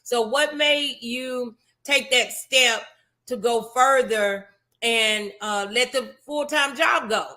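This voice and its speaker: A woman's voice: a little high, slow pace, bright, forceful tone. A woman hosting a morning talk show interview, speaking in an effusive, encouraging way and often repeating her phrases.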